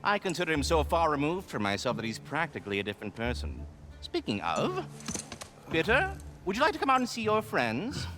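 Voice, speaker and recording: An actor playing a courteous, fussy squirrel spirit in a video game, speaking in a formal, pompous way. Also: male voice, a little high, wildly swooping pitch, brisk, sharp tone, soft background music, exaggerated voice. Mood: politely inviting. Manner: formal, pompous, precise wording. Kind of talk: animated video game character acting.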